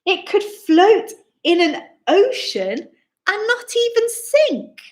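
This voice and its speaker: A woman with a high-pitched voice reads a children's picture book aloud in an echoey room. Her delivery is theatrical and very lively, with wide swings in tone and an unhurried pace. She speaks straight to her young listeners, exclaiming as she goes. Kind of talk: expressive rhyming read-aloud for young children. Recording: roomy, echoing indoor sound.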